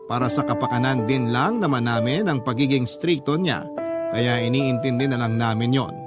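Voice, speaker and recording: A man's voice: medium pitch, normal speed. A man narrating a first-person letter as a radio drama storyteller, in a dramatic scripted style. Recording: loud music bed under voice. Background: loud instrumental music.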